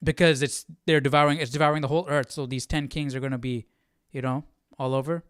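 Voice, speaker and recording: A man's voice: mid-range voice, fairly even intonation, fast, smooth, no room sound, studio quality. A man hosting a livestream Bible study, talking informally and thinking aloud. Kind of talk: casual livestream chat and study commentary.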